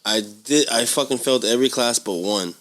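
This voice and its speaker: A man's voice: medium pitch, a little fast, a bit bright. A young man from California co-hosting a podcast, rambling in a laid-back way and laughing often. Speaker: podcast co-host. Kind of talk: casual chat.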